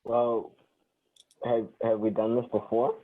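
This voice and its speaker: A man plays a smooth-talking sitcom guy who gets defensive and sarcastic. His pitch is average. The audio is thin and narrow, like a phone call. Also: level tone, slow pace. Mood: flustered, sarcastic. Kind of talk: sitcom script table read.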